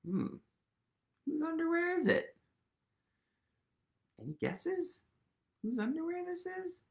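A man in his thirties reading a picture book aloud to young children, expressive and full of questions for his listeners. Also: very high voice, takes it slowly, vocal fry. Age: 30s. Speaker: host, museum literacy educator reading aloud. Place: indoors, small quiet room at home.

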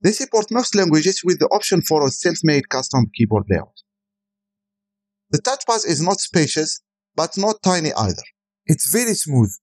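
A man's voice: a little high, very animated, moderate pace. A man who is a native Arabic speaker, giving a scripted voice-over product review as a tech reviewer.

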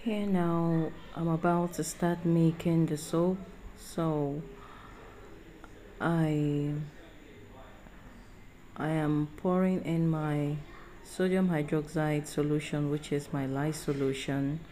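Deep mellow woman, chatty tutorial. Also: little pitch movement, takes it slowly, pauses often, slight background noise. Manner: chatty, rambling, with frequent fillers and self-corrections. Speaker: soap-making channel host. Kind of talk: casual tutorial voice-over.

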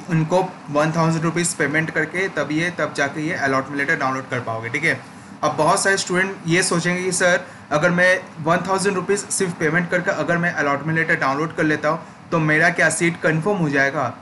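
A man's voice: a little high, noisy background. A young man hosting a screen-recorded tutorial, explaining steps patiently and checking in often with listeners. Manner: explanatory, repeats "ठीक है" check-ins. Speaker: education channel host.

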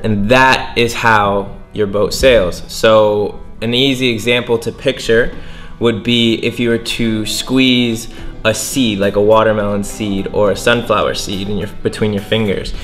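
A man's voice: on the low side, fairly bright tone. A young man hosting a casual lesson, explaining how sailing works in plain words while gesturing, indoors by a window. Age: young adult. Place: indoors by a window near the water.

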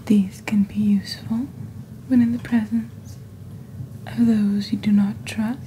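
A young woman playing a genteel witch shopping-channel host in soft-spoken ASMR, with a breathy near-whisper and a deliberate, gently formal delivery. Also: mid-range voice, level tone, a little hiss or hum, natural voice, poor audio. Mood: soft, soothing. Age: young adult. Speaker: ASMR performer.

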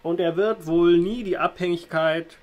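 A man in his thirties improvising a philosophical monologue to camera in a halting, contemplative manner, recorded indoors in a small room. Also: high-pitched, fairly even intonation, highs cut off. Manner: halting, contemplative, with long pauses. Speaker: vlogger musing on spirituality.

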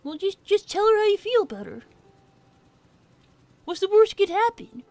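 A woman's voice: very high voice, very animated, moderate pace, darkish tone, no echo, heavily acted. A woman voicing a young, brainy cartoon fox boy who chats in a sassy, self-assured way as a gaming livestream host. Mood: defensive, assured. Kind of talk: in-character gaming livestream chat. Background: game music.

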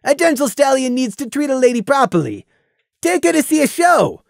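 A storyteller voicing a rowdy young pony stallion who loudly teases his friend. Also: high voice, big pitch swings, a little fast, creaky, no room sound, studio quality, pitched above the performer's own voice, full character voice. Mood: teasing. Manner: boisterous, teasing, pushy.

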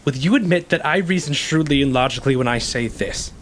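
An actor playing a bitter, wounded ex-convict in an audio stage play, intense and cunning, with dramatic, halting, emphatic delivery. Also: male voice, medium pitch, close to an everyday voice. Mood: shrewd, pressing. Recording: rainy ambience and faint noise.

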